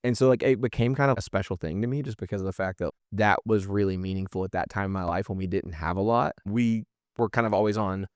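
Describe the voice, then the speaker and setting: A man in his thirties chats as a podcast guest in a slightly low, smooth voice. He moves along quickly and informally, telling stories in loose everyday phrasing. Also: dry recording, studio quality. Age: 30s. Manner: informal, peppered with "like". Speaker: podcast guest, marketing business owner. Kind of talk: casual conversational storytelling.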